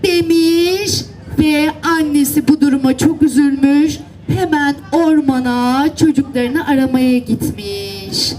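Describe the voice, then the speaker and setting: High-voiced woman telling children a story, unhurried. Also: a little mellow, low-quality recording. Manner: repeats phrases, coaxing children with simple instructions. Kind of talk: animated storytelling and directing children in acting out a tale. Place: outdoor seaside children's event. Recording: live event, faint crowd and noise. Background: faint crowd and ambient noise.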